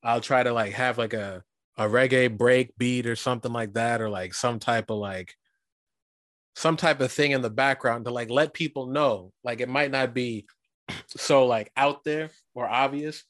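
A man from Guyana hosting a casual interview, talking in a relaxed, rambling way with lots of fillers. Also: medium pitch, moderate pace, no echo, studio quality. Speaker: podcast host.

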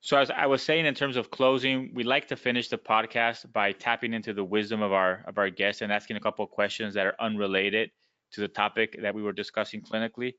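A man's voice: fairly low pitch, little pitch movement, fast, sharp tone, smooth, dry recording, highs cut off. A male physician hosting a medical podcast, speaking courteously and with frequent fillers as he thanks and questions his guest. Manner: courteous, uses many fillers. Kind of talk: podcast interview.

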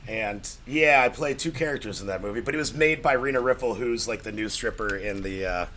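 A man in casual conversation about films, talking in a rambling, halting, crudely joking way. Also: medium pitch, pushed and bright, slight background noise. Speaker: podcast guest, actor. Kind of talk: casual film chat.

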